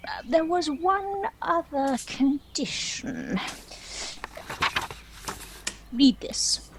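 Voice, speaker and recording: A woman's voice: high-pitched, sing-song, noisy background, heavily acted. A woman playing a chatty, self-pitying dragon who tells its own story in a wry, theatrical comic stage monologue. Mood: dry, wry. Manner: wry, theatrical, measured storytelling with arch asides.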